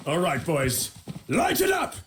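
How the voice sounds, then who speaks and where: An actor playing an honorable, passionate knight in a video game, earnest and forceful. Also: male voice, a little high, huge pitch swings, a little slow, very noisy. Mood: urgent, commanding. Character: righteous, passionate medieval knight, indignant and honorable. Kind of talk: dramatic video game cutscene acting.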